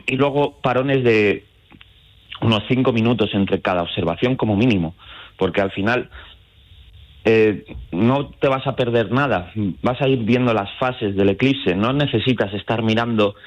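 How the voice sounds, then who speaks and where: Low-voiced man on a dull phone line. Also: unhurried. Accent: central Spain. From Madrid, Spain. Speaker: astrotourism guide, phone guest. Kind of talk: informal radio interview, explaining.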